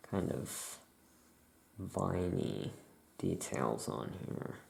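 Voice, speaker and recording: Slow, very creaky, soft-spoken ASMR witch roleplayer. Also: low-pitched, very animated. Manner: unhurried, musing aloud. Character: laid-back Salem witch shopkeeper chatting with a customer. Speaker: ASMR performer playing a witch shopkeeper. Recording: faint background noise.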